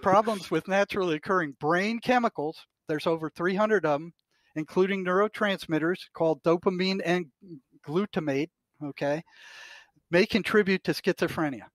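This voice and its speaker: A man's voice: high-pitched, normal speed, a bit bright, no echo. An older man, a retired psychotherapist and podcast guest, talking bluntly and indignantly, with emphasis and some mild swearing. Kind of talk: opinionated discussion, quoting articles aloud.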